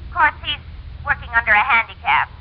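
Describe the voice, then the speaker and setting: A woman's voice: a little high, expressive, a little fast, husky. An actress playing a genteel, chatty woman in an old-time radio family sitcom. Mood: cheerful, chatty. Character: genteel, animated woman in domestic family comedy. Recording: muffled, faintly noisy old radio recording.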